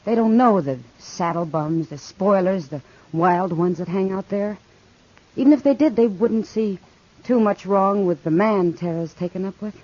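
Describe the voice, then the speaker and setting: A woman's voice: mid-range voice, normal speed, darkish tone, close to an everyday voice. An American woman playing a worldly, caring saloon woman, earnest and confiding, in 1950s radio western style. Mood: worried. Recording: dull, muffled 1950s radio recording.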